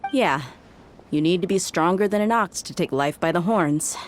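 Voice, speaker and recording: Lady doing a cool steady mercenary gal from a game, lowish and laconic, bit of noise behind. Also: moderate pace, natural voice. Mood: calm, supportive. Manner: calm, laconic. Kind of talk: video game voice acting. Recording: faint ambient noise.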